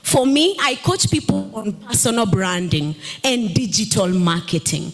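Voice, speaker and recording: A woman's voice: mid-range voice, expressive, a little slow, faint music behind. A woman in her thirties on a summit panel, coaching the audience on personal branding and asking them questions. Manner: engaging, asks the audience questions. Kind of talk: panel talk to a live audience. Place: stage at a summit. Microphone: handheld mic.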